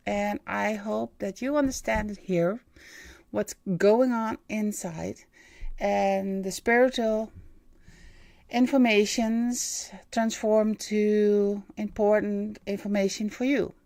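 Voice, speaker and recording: A woman in her fifties with a Dutch accent, hosting a livestream in halting English and pausing often to search for words. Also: medium pitch, steady tone, vocal fry, darkish tone, no echo. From Netherlands. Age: 50s. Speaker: livestream host and medium. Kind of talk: hesitant livestream monologue.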